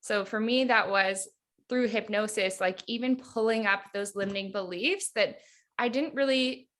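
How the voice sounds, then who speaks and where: A woman's voice: mid-range voice, moderate pace, fairly bright tone. Woman in her thirties, hypnotherapist, casual podcast chat, reflective, filler-heavy. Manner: chatty, reflective, heavy use of "like". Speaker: hypnotherapist guest.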